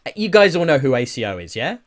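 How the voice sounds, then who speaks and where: A man's voice: mid-range voice, big pitch swings, very fast. Rambling man hosting a casual podcast. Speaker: podcast host. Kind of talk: casual chat about games and news.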